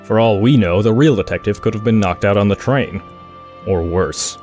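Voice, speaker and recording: A man's voice: fairly low pitch, moderate pace, a little mellow, soft background music, natural voice. A man acting a stern, dutiful pony royal guard in an audio drama, formal, protective and suspicious.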